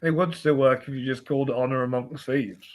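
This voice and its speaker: A man's voice: mid-range voice, fairly even intonation, brisk, slight background noise. A man co-hosting a podcast in a casual conversation about films. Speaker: podcast co-host. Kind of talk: casual film chat.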